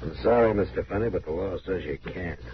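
An actor playing a stern but fair frontier lawman in a 1950s radio western, speaking plainly and in a measured way with hesitant pauses. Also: low-pitched, dull audio, close to an everyday voice. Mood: regretful, firm. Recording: noisy, band-limited 1950s radio recording.

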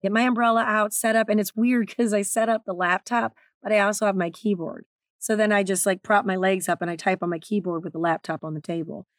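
Raspy-voiced woman podcaster. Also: medium pitch, level tone, brisk, dry recording, studio quality. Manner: candid, chatty, self-deprecating. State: strained voice. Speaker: podcast host and author. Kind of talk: solo podcast talk.

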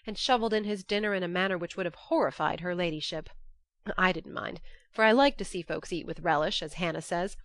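A woman audiobook narrator giving an expressive first-person reading of literary fiction. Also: fairly low pitch, no echo.

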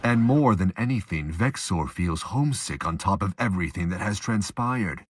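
Man, low voice, scripted dramatic storytelling, audio drama narrator, faint background noise. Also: lively intonation, moderate pace.

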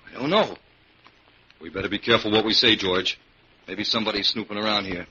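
Casting: disgruntled henchman, rough raspy voice, colloquial, vintage radio drama, dull sound. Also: fairly low pitch, a little fast, sharp tone, heavily acted. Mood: wary. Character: disgruntled, wary male crook working at a lumber camp. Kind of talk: old-time radio drama acting.

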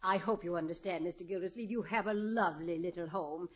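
A woman's voice: lowish voice, fairly quick, lightly acted. A woman playing a bossy, genteel, meddling older mother-in-law in a 1950s radio comedy, insistent and primly polite. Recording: muffled old radio recording.

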